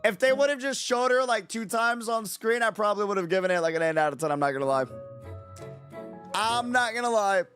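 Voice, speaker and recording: A man streaming casually late at night, his voice raspy and hoarse from no sleep, rambling and repeating himself with lots of fillers. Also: high-pitched, brisk, fairly bright tone, faint music behind. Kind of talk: casual livestream chat.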